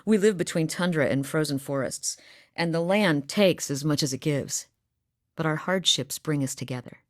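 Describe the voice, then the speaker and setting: An actress voicing a seasoned middle-aged huntress from a frozen northern village, talking in a plain, dry and wry way for a video game. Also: female voice, sits low, moderate pace, no room sound, lightly acted. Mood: wistful. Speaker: game character voice actor. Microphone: close studio mic, dry.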